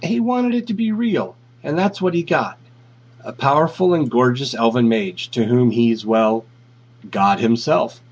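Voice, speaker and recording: A man's voice: mid-range voice, a little slow, darkish tone, slightly rough. A man voicing a glib, self-assured young male tech genius in a flippant, fussy, smug manner, as in a story reading. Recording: dull, lo-fi audio.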